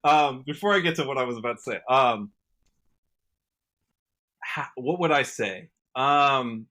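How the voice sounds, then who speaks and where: Bright-voiced American man, earnest. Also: mid-range voice, unhurried. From United States, Washington state. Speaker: podcast guest, country musician. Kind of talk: casual podcast conversation.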